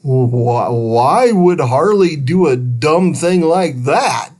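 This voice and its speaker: A man's voice: on the high side, expressive, slow, darkish tone. A man voicing a curious, worried young boy on an adventure in a children's storybook, full of wondering exclamations.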